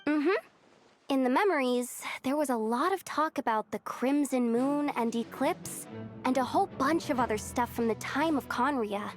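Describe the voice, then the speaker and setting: An actress voicing a small, excitable, candid girlish companion in a video game, chatty and expressive. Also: high-pitched, moderate pace, natural voice. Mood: eager. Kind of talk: video game character acting. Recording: background music under the voice.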